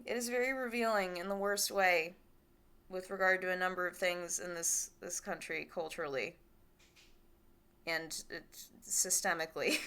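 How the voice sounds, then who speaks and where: A woman streamer chatting candidly with viewers, swearing freely and laughing often, with a small light voice that carries little bass. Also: fairly bright tone. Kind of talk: casual chat with stream viewers.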